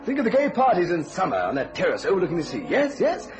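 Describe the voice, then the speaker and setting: Quick, flustered, high-voiced salesman. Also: huge pitch swings, music in the background. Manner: chatty, nervous, ingratiating. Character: eager, flustered male house agent. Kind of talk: radio thriller acting.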